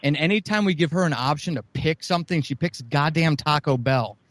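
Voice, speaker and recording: A man's voice: fairly high pitch, fairly quick, fairly bright tone, dry recording. Rambling, swearing man on a dry mic. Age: middle-aged. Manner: rambling with restarts, profane. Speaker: podcast guest, audio drama writer. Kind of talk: casual podcast interview.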